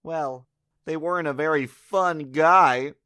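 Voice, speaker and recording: A woman playing a mouthy, anxious rookie ensign in a science-fiction audio drama, with a flippant, expressive delivery. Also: deep voice, takes it slowly, clean tone. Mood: flippant, jokey.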